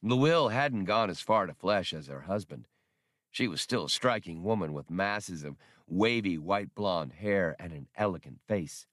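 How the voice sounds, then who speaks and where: A man's voice: low voice, expressive, a little slow, fairly bright tone, no echo, studio quality. A man reading a scripted work of fiction as an audiobook narrator.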